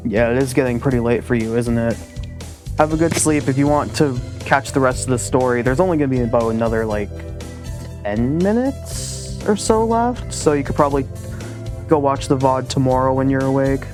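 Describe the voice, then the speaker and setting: A man's voice: mid-range voice, darkish tone. A man chatting casually to his live-stream audience in a rambling, self-deprecating way.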